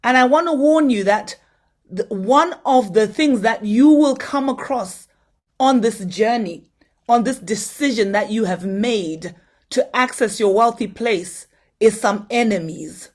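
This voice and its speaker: Woman, slightly high voice; earnest motivational speaker urging a conference crowd to act. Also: a little slow. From Zimbabwe. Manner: earnest, exhorting, asks the audience direct questions.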